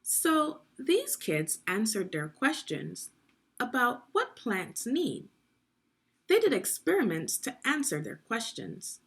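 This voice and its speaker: A woman with a slightly husky voice reads aloud to young children as a teacher would. Her pace is unhurried and her pitch moves in lively swings as she explains and puts questions to the listener. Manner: instructional, prompting listeners with questions. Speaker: teacher leading a children's read-along. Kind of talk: guided read-aloud of a children's science book for young learners.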